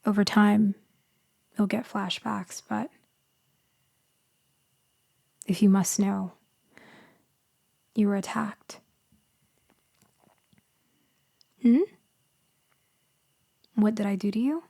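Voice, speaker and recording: A woman playing a gentle vampire in an intimate ASMR roleplay, speaking softly and breathily, often in a whisper, with tender, reassuring, hesitant delivery. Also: mid-range voice, steady tone, slow, gravelly, pauses often, no echo. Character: gentle female vampire soothing someone she saved.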